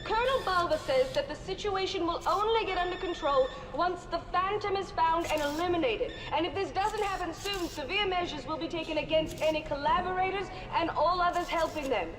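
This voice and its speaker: A female reporter in a video game. Her voice is extremely high, and she questions officials in a formal, insistent way. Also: a little fast, hardly any pauses.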